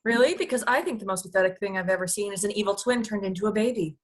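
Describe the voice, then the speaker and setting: A cool, wry alien queen, acted by a woman in a comedy table read. The voice is mid-pitched and moves along very quickly. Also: lightly acted. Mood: mocking.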